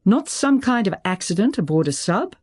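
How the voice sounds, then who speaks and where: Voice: medium pitch, big pitch swings, normal speed, voice pushed up. A narrator voicing a brusque, decisive woman official who speaks urgently and questions hard. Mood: probing.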